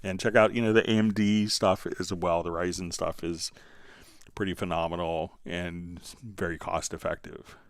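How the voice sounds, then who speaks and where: A man's voice: sits low, little pitch movement, normal speed, no echo. A man hosting a live question-and-answer stream, talking casually and explaining things in an informal, rambling way with asides. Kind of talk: casual unscripted Q&A talk, reading out viewer questions.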